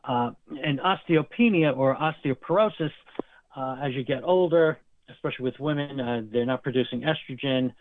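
Brief: male healthcare guest, steady mid-pitched voice, explains medical terms carefully; thin phone-line sound, dry. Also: normal speed, slight background noise. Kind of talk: interview explanation.

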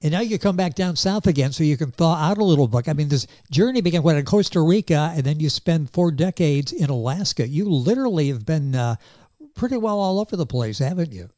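A male talk show host with a warm, relaxed tone and a pitch that rises and falls freely. He speaks in a genial, upbeat announcer's style. The sound is close and dry, with no room echo. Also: a little fast. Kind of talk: interview show hosting.

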